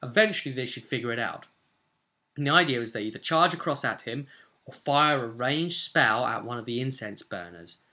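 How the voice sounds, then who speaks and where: Casting: British male, twenties, bright forceful voice, mid pitch, chatty rambling game-master explainer with emphatic bursts. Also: animated, moderate pace. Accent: British English. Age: 20s. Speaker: game master, video host. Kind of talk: casual explanatory vlog about tabletop role-play. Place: small home room, indoors.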